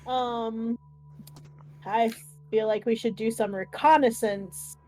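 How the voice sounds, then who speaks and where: A woman chatting casually as a player in a tabletop game, phrasing things in a measured, hesitant way. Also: a little high, fairly even intonation, a little mellow, soft background music.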